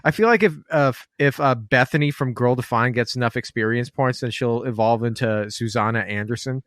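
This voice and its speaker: A man's voice: mid-range voice, fairly quick, fairly bright tone, dry recording, studio quality. A man co-hosting a podcast, joking around with sarcastic asides. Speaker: podcast co-host. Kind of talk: casual podcast chat.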